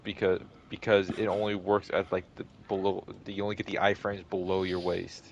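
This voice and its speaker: A man with a deep voice chatting casually over voice chat while gaming, often stopping and repeating himself. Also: little pitch movement, dull audio, slight background noise. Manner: halting, restarts and repeats himself. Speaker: co-op player on voice chat.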